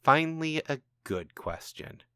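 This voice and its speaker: A man voicing a calm, knowing, commanding supernatural father in a storybook reading, speaking in a measured, taunting way. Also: on the high side, clean tone, no room sound, clean studio sound, voice pushed up, exaggerated voice. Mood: pleased, sly. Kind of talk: storybook narration dialogue.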